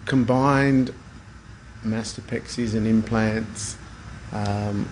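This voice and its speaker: A man's voice: lowish voice, steady tone, drawn-out words, background noise. A middle-aged man with an Australian accent, chatting as an interview guest in an unassuming, hesitant way. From Brisbane, Australia. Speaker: guest, plastic surgeon. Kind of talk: casual interview chat.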